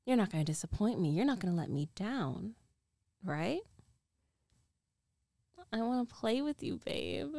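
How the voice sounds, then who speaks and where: Slow, mellow, teasing dominant girlfriend played by a woman. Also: mid-range voice, big pitch swings, dry recording, exaggerated voice. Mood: teasing, coaxing. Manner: slow, coaxing, breathy teasing, often dropping to a whisper. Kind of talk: intimate ASMR roleplay.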